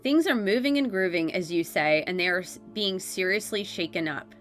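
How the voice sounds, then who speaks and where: A congested woman reading the solemn, measured words of angelic guidance from a written letter. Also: on the low side, fairly bright tone, soft background music, lightly acted. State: congested from a head cold.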